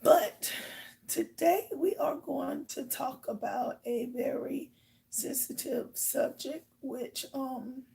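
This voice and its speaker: A woman hosting her own live talk show, chatting casually with viewers in a hoarse, raspy voice and rambling with lots of fillers. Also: sits high, slow, mellow. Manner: rambling, filler-heavy storytelling. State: hoarse from shouting. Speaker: livestream host.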